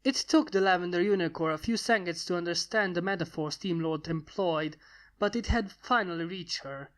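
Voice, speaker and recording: A woman reading a fantasy story aloud as scripted, expressive narration. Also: fairly low pitch, moderate pace. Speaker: fan fiction reader.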